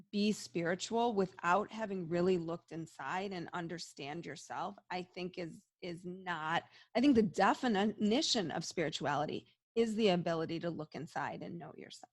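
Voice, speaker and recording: Middle-aged woman talking openly, low voice, dry recording, lots of restarts. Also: no room sound. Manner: open, reflective, many restarts. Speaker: interview guest, psychologist and medium. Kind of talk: casual interview talk.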